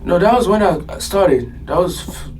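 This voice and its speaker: A man's voice: sits high, expressive, moderate pace, darkish tone. Podcast guest: young man, easygoing and chuckly, slightly distant mic with faint noise. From Burkina Faso. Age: 20s. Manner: laid-back, chuckling, loose filler-heavy talk. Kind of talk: casual interview chat.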